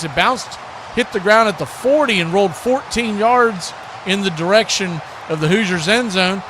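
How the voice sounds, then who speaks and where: A man doing live football play-by-play as an announcer, speaking in clipped phrases that build on big plays. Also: sits high. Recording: clearly noisy live stream.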